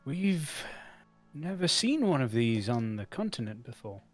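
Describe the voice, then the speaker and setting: A man voicing a female owl spirit companion who speaks in a measured, dry way. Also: mid-range voice, big pitch swings, faint music behind. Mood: calm.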